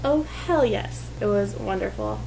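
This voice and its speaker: A woman's voice: medium pitch, huge pitch swings, a little slow, gravelly, a little mellow, a little hiss or hum, poor audio. A woman chatting casually as she reviews a book. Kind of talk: casual chat.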